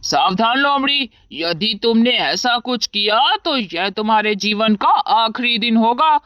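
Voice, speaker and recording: A woman voicing a stern, honourable male lion king in an animated story, with a commanding, solemn manner. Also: fairly high pitch, a little slow, no room sound. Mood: stern warning. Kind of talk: animated moral story acting.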